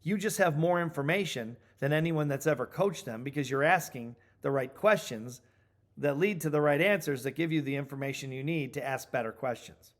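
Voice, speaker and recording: Higher-pitched man, emphatic coaching trainer. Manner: emphatic, deliberate, repeats key points for stress. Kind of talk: instructional training talk.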